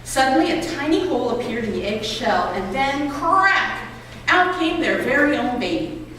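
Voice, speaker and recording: A woman reading aloud to a gathering with clear, expressive storybook phrasing. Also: sits high, moderate pace. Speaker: reader at a public read-aloud event. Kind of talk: reading a children's book and an essay aloud. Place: college building lobby. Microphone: distant microphone. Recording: echoey hall, distant mic, noisy ambience.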